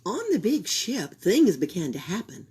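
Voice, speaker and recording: A woman reading a children's picture book aloud for a library storytime, expressive and sometimes dropping into a whisper. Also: mid-range voice, moderate pace, husky. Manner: expressive, drops into whispers for suspense.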